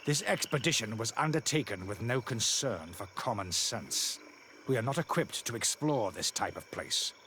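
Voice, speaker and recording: Game role: haughtily indignant male priest, acted by a man, very animated pitch, faint swamp frogs behind. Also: normal speed, a little hiss or hum, lightly acted. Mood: indignant, disdainful. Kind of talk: video game cutscene dialogue.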